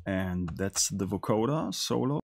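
A man hosting a casual music production tutorial in a studio, talking in a hesitant, halting way. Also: fairly low pitch, animated, creaky. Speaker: tutorial host.

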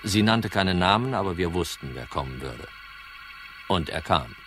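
An actor playing a cool, composed insurance detective with dry irony in a crime radio drama. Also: male voice, deep voice, lively intonation, normal speed, music in the background. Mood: matter-of-fact. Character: cool, wry male insurance detective narrating his case. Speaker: actor, narrator and lead. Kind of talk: German crime radio drama, first-person narration.

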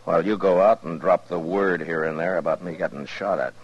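Man as a low-voiced, stern frontier marshal. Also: fairly even intonation, brisk, natural voice. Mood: dry, easy. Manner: terse, dry. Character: stern, laconic, world-weary frontier marshal. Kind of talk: 1950s radio western acting and narration. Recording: dull 1950s radio recording.

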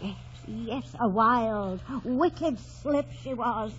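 An actress playing a soft-hearted, fretful older housekeeper in an old radio drama. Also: female voice, a little high, very slow. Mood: worried, wistful. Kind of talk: 1940s radio acting. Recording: muffled old radio broadcast, faint noise.

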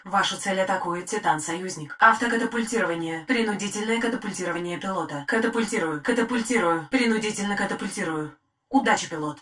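An actress playing a loyal female titan robot AI in a video game, delivering crisp, formal military callouts. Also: mid-range voice, fairly bright tone, natural voice. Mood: composed. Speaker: in-game battle announcer. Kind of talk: short scripted combat callouts.

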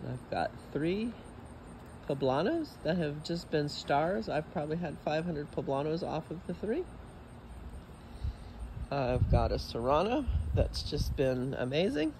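Chatty Texan man touring his backyard garden. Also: unhurried. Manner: relaxed, chatty, lively rises in pitch. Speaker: backyard homesteader giving a garden tour. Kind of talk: casual walking garden tour. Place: outdoors in a suburban backyard garden. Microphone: phone camera held while walking. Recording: outdoor phone audio with clear background noise.

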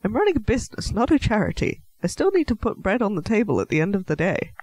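A man's voice: sits high, very animated, quick pace, a little mellow, no echo. A man playing a clumsy, nervous half-elf alchemist shopkeeper in a fantasy audio roleplay, stammering, apologetic and politely chatty. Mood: polite, brisk. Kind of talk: scripted fantasy audio roleplay addressed to the listener.

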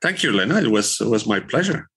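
A man's voice: mid-range voice, very animated, quick pace, a bit bright, faint music behind. A man in his fifties from Belgium answering in a conversational podcast interview, rephrasing as he goes. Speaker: interview guest, trade association director.

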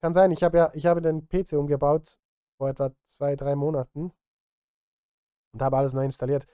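A middle-aged man streams from his home studio with a mellow, slightly high voice. He thinks aloud in loose, casual chat, muttering and grumbling at himself. The sound is close and dry. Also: little pitch movement, fairly quick, smooth, dry recording, dull audio. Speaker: streamer and film music composer. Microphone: close studio microphone.

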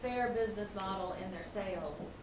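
A soft-spoken woman sharing her table's thoughts in a casual group discussion, somewhat hesitant. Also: mid-range voice, moderate pace. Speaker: attendee reporting for her table. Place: large meeting room with round tables. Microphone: room camera mic. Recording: roomy, from far off, noisy.

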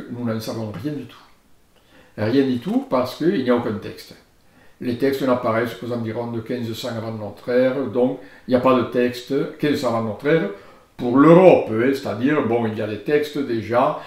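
An older man with a southern French accent, an archaeologist speaking thoughtfully in a filmed interview in his book-lined study, gesturing and pausing with hesitations. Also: mid-range voice, a little mellow. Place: study lined with bookshelves, indoors. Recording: roomy study sound.

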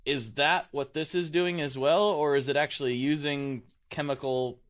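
A man's voice: on the high side, steady tone, normal speed. A man co-hosting a casual podcast who rambles, loses his train of thought and laughs easily. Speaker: podcast co-host. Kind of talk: casual chat.

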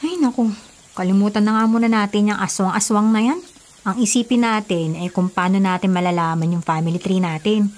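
A woman's voice: mid-range voice, fairly even intonation, fairly quick, natural voice. A young woman acting as a skeptical, practical young cousin in a Filipino radio drama. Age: young adult. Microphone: studio mic.

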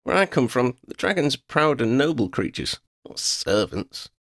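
A sharp-tongued, defiant young woman, as a male narrator puts her on, lifted well above his own register. Her tone stays husky and lively. The recording is close and dry. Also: mid-range voice, moderate pace, no room sound, pitched above the performer's own voice. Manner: indignant, challenging.

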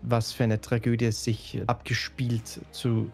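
A man's voice: mid-range voice, monotone, unhurried, vocal fry, a little mellow, faint music behind. A man playing a diplomatic lord and master of law in a fantasy role-play, formal and hesitant. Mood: conciliatory.

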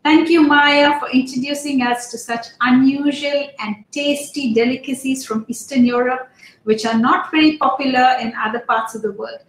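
A middle-aged woman hosting a webinar over a video call, speaking in a measured, polite and formal way. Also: high-pitched, reverberant, rough recording. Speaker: webinar host. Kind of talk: closing remarks. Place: home study with bookshelves.

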